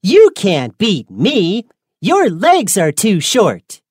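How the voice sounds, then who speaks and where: A woman's voice: medium pitch, wildly swooping pitch, very slow, a little mellow, slightly rough, dry recording, clean studio sound, exaggerated voice. A woman voicing a boastful, cocky little rabbit for a children's storybook, emphatic and taunting.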